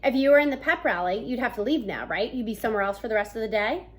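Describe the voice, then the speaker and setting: A woman's voice: a little high, expressive, quick pace, lightly acted. A woman reading a storybook as a weary, insistent male middle-school teacher who is easily roused to indignation. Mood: flat, agreeable.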